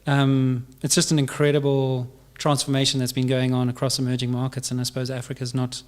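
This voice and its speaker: A man's voice: mid-range voice, monotone, fairly quick, a little mellow. A male podcast host who opens and asks questions hesitantly, with many fillers. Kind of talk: podcast interview.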